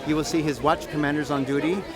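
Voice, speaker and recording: A man's voice: a little high, fairly even intonation, quick pace, over music, poor audio. An American man speaking off the cuff as an activist in an outdoor interview, emphatic and self-assured, repeating phrases for emphasis. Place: outdoors at a public rally. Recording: outdoor crowd noise behind voice. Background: crowd chatter.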